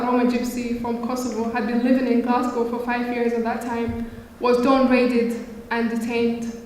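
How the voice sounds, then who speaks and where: Earnest woman from somalia, kinda high and flat voice, far off in a big echoey hall. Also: slight background noise. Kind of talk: interview remarks.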